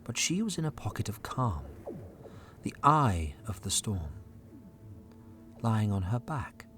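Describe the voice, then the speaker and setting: A man narrating a story dramatically, building suspense with short weighted phrases and pauses. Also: lowish voice, very animated, slight background noise. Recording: loud storm ambience behind narration.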